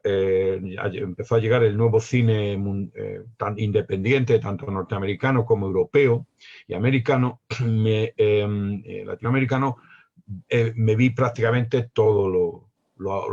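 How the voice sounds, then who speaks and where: A man in his sixties from Spain with a Spanish accent, a poet guest on a panel, speaking in a reflective, hesitant way with many pauses. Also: on the low side, darkish tone, lo-fi sound. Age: 60s. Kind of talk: panel discussion.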